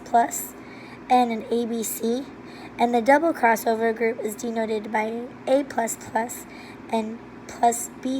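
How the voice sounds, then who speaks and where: A hoarse woman with laryngitis, presenting a recorded walkthrough of gene-mapping calculations step by step in a steady, methodical way. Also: a little high, slight background noise, low-quality recording. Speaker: student presenter.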